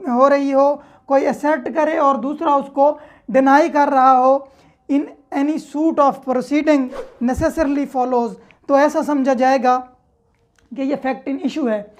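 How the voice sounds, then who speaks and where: A Pakistani-accented woman speaks in a clearly high voice. She teaches law in an explanatory lecture style, alternating formal reading with plain, example-led explanation. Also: fairly even intonation, slow. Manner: reads clauses aloud, then explains with simple examples.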